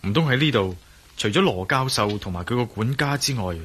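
An actor playing an inquisitive, bold male adventurer in a radio drama, with a Hong Kong Cantonese accent and a deliberate, pondering manner. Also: mid-range voice, animated, fairly bright tone. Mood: hushed wondering. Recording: radio drama with clear music behind.

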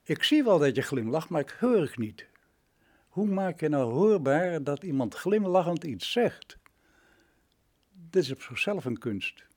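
A man, speaking reflectively and haltingly as an interview guest, often agreeing emphatically. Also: a little high, big pitch swings. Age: nineties, almost a hundred. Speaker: interview guest, retired radio drama director. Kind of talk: reminiscing interview conversation.

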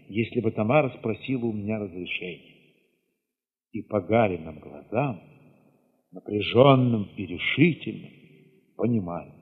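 Husky man narrating solemnly in reverberant space. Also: medium pitch, phone-quality sound. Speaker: narrator and reader of an audio drama. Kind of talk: dramatic first-person literary narration, radio play.

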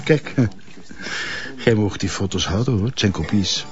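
A man's voice: on the low side, expressive, moderate pace, soft background music, close to an everyday voice. An actor playing a smug, insinuating male informant turned blackmailer, with a Flemish accent. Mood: smug, menacing. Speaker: actor in a crime drama. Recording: dull lo-fi broadcast audio.

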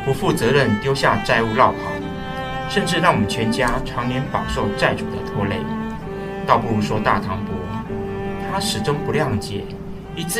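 A man reading scripted narration for a radio drama. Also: medium pitch. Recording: distant voice under loud music.

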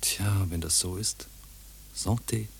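An actress playing a reserved, courteous, quietly flirtatious madame in a radio play, husky and soft-spoken. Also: female voice, extremely low pitch, slow pace, full character voice. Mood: dry, courteous. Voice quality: husky, breathy.

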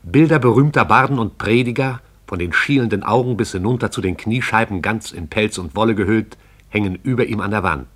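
A man narrates a radio play in a measured, poetic way. His voice sits fairly low. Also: fairly bright tone.

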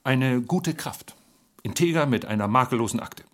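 An actor playing a proud, aloof government gentleman in a radio drama, speaking formally and precisely. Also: mid-range voice, lively intonation, moderate pace, lightly acted. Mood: guarded, aloof.

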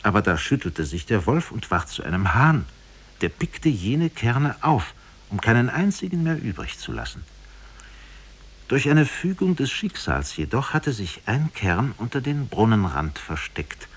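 A man's voice: medium pitch, very animated, unhurried, slightly rough. A man narrating a fairy tale in a solemn, dramatic 1950s radio storytelling style.

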